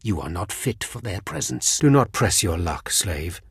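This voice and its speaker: An actor playing a cruel, contemptuous Sith overseer in a video game, raspy and husky, with a sneering, imperious manner. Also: male voice, sits low, big pitch swings, normal speed, fairly bright tone, dry recording, exaggerated voice. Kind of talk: scripted video game dialogue.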